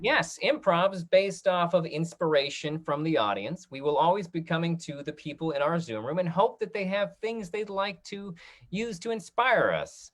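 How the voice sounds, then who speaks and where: Halting, encouraging man hosting online. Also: a little high, a bit bright. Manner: halting, filler-heavy, friendly and encouraging. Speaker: host and online programming director of a theater company. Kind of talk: casual video-call talk-back hosting. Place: online video call.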